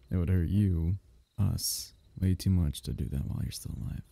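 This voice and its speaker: A man voicing a possessive, soothing alien symbiote in an ASMR roleplay, speaking in a soft, coaxing, intimate way with breathy drops into whisper. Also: low-pitched, normal speed, no room sound. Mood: tender, possessive. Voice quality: husky, breathy, often dropping into whisper. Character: possessive, soothing alien symbiote, male, semi-liquid creature.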